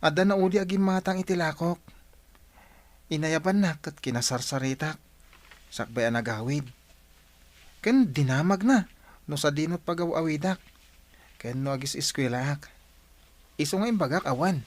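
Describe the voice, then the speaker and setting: A man's voice: fairly high pitch, animated, normal speed, pauses often, lightly acted. A man with an Ilocano accent playing a dutiful, hardworking young village son in a radio drama. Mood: earnest.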